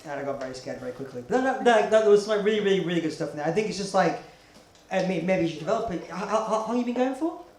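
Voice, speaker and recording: Casting note: male comedy judge, slightly high voice, loose rambling feedback, roomy live sound. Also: lively intonation, very fast, a little mellow. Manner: hesitant, rambling, repeats words for emphasis. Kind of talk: casual spoken feedback. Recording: echoey room, faint background noise.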